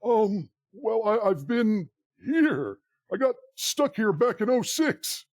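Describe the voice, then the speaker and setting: A man's voice: high voice, big pitch swings, slow pace, no echo, full character voice. Guy doing a stammering lost gnome stuck for years, half starved, comedy podcast, dry close sound. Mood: forlorn, hesitant. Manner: hesitant, stammering. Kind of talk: comedic audio drama dialogue.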